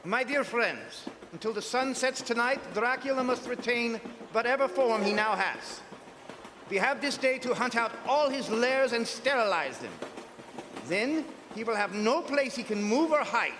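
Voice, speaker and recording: A man playing a grave, authoritative professor who leads vampire hunters in a radio drama, speaking in solemn, deliberate oratory. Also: very high voice, expressive, noisy background. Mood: grave, resolute. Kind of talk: old-time radio drama acting. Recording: live theater stage, long hall reverb.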